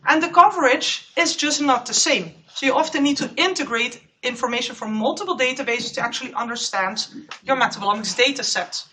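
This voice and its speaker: A woman postdoc gives a loud, chatty conference presentation in a lecture room. Her voice is high and bright, picked up by a clip-on mic. The recording sounds a little distant. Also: a little fast. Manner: loud, chatty, self-aware. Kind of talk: conference talk. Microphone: clip-on microphone.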